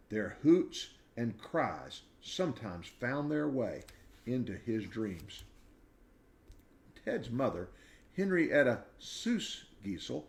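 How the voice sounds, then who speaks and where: A man reading a children's book aloud in an expressive storytelling style. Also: mid-range voice.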